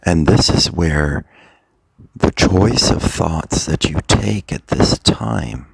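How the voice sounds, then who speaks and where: A man speaking as a meditation coach in a guided, motivational style, deliberately, in short phrases with long pauses, his voice gravelly and husky. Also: deep voice, poor audio.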